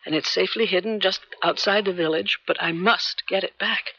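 An actress playing a poised, determined government agent in a 1970s radio drama, cool and assertive. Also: female voice, mid-range voice, fairly quick, bright, forceful tone. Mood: urgent. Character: poised, determined female American government agent, persuasive and secretive. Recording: dull 1970s radio broadcast recording.

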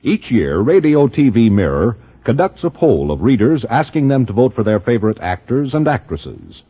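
Old time radio announcer guy, formal, lively delivery, bit husky, muffled sound. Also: mid-range voice, normal speed. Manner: formal, polished presentation. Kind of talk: 1950s radio announcing, scripted credits.

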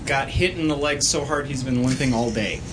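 A man's voice: medium pitch, normal speed, a loud crowd, poor audio. A man casually recapping gaming events as a guest on a live podcast at a convention. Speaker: podcast guest, game tournament winner. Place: indoor convention recording before an audience. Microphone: shared podcast microphone. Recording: live convention room, clear background noise.

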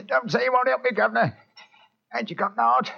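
An actor playing a wretched Cockney opium addict who begs in a thin, quavering voice, on an old radio drama recording. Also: male voice, very high-pitched, brisk, creaky, husky, voice pushed up, full character voice. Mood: pleading. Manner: pleading, wheedling slang. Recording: dull vintage radio recording.

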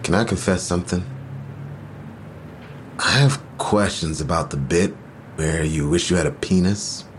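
An actor playing a gently curious lover in an audio drama, intimate and hesitant. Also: male voice, low-pitched, measured pace, pauses often, a little hiss or hum. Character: woman's lover, gently curious man in bed.

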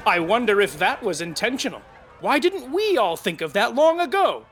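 Audio drama role: sly, theatrical narrator, high and sharp, swooping with relish. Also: male voice, big pitch swings, normal speed, a little hiss or hum, heavily acted. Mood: mischievous. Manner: mischievous, relishing.

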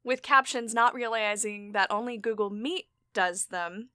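A woman with a bright, clear voice hosting a relaxed podcast conversation. She sounds enthusiastic and laughs often. The audio is from a video call. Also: medium pitch, a little slow, dry recording, studio quality, soft background music. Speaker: podcast host and audio drama creator. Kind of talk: casual interview chat.

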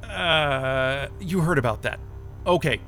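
Nervous, halting male interviewee, bright-voiced. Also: on the high side, expressive, slight background noise, full character voice. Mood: defensive, sheepish. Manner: hesitant, halting, evasive. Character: nervous, defensive, disoriented male job interviewee. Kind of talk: naturalistic audio drama acting.

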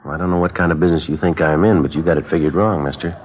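Terse undercover detective, very deep voice, flat delivery, dull old radio sound. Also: male voice, racing, breathy edge. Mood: guarded. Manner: clipped, flat, matter-of-fact narration. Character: undercover police detective posing as buyer, terse. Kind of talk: 1950s radio drama acting.

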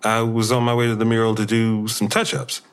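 A man playing an injured, tender boyfriend in an audio drama, earnest and a little halting. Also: on the low side, little pitch movement, clean tone, close to an everyday voice. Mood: evasive.

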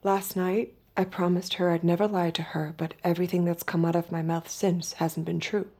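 Audio drama lead: detached young female escort, low and mellow, measured, intimate delivery. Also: little pitch movement. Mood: confessional, brooding. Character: guarded, detached young woman escort hiding her true self. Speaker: lead character and narrator. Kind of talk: intimate audio drama acting with inner narration.

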